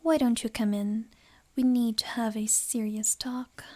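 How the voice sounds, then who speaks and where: A woman plays a wealthy, possessive noble lady for an ASMR romance roleplay. Her voice is fairly high and very soft-edged, with breathy, unhurried, refined phrasing. A gentle politeness covers her menace. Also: fairly even intonation. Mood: composed.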